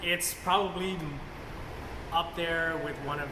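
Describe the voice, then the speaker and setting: Higher-pitched man in an echoey, noisy room. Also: fairly even intonation, slow pace. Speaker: movie review co-host. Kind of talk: casual unscripted film review chat. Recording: echoey reverberant room, clear background noise.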